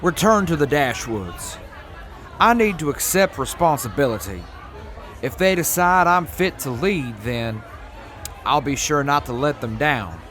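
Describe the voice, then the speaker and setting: A man's voice: on the high side, very animated, a bit bright, faint music behind, some chatter behind. A man playing a rueful militia major. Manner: measured, rueful. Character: weary male militia major, self-reflective. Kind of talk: audio drama acting.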